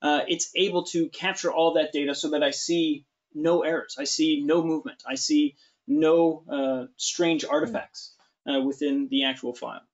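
Relaxed 30s male host, slightly high voice; dull studio sound. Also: moderate pace. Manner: relaxed, joking, lots of fillers. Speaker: webinar host. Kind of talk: webinar discussion. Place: studio with large screen.

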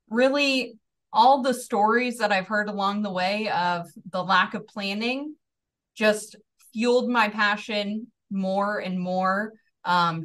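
A woman in her thirties with a general American accent, telling her background in a relaxed, earnest way as an interview guest, with frequent ums. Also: fairly low pitch, distant mic. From Northeast Georgia, USA. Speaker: farm transition specialist guest. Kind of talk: conversational interview storytelling.

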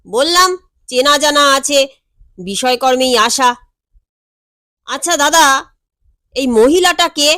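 A woman voicing a timid, nosy, excitable male errand runner who exclaims and asks questions deferentially in an audio story. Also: sits high, slow pace, long pauses, dry recording, studio quality, voice pushed up, exaggerated voice. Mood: defensive.

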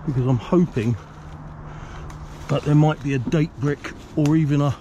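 Mellow man musing aloud outdoors. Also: a little high, normal speed, slight background noise. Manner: musing, asks himself questions aloud. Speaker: presenter of a canal exploring series. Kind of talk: casual exploring commentary. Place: outdoors by a canal bridge and road.

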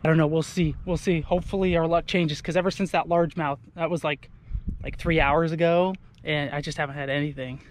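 A young man, chatting casually to his viewers from a small boat on a lake, getting excited when fish bite. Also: on the high side, a little fast, background noise. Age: young adult. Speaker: fishing vlogger. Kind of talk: casual outdoor vlog commentary. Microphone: action camera on the boat.

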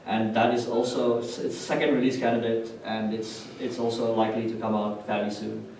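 A man presents technical material to a conference room in an explanatory way. His voice sits at a middle pitch and is picked up from a distance, with very heavy room echo and faint noise behind him. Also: level tone, fairly quick, darkish tone. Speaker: conference speaker. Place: indoor hotel conference room with projector screen. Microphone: distant camera microphone.

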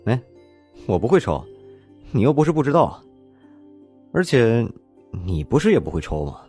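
A man's voice: medium pitch, big pitch swings, normal speed, dark tone, husky. A man playing a subdued, considerate young student in an audio drama, with casual, understated delivery. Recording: music under the voice.

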